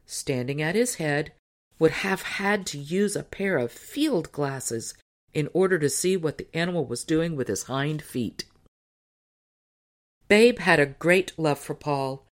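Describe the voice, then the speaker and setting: A woman reading a tall tale as an audiobook narrator, in measured, clearly phrased scripted storytelling. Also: low voice.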